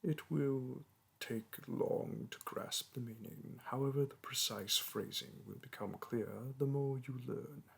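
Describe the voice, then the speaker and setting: Proud, centuries-old dragon teacher, played by a man, medium-pitched, lofty and courtly in speech, for a romance audio drama. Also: a little slow, creaky, slightly rough, full character voice. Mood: haughty, tender. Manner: grandiloquent, archaic, formal phrasing. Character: proud, ancient male dragon teacher, haughty yet amorous.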